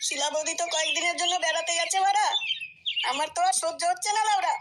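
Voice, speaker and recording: A horny, swaggering young cartoon villager with an extremely high, squeaky voice. He talks in coarse slang and boasts shamelessly. The track has clear background noise. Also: fast talker. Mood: frustrated, crude. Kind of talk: crude comic cartoon dialogue.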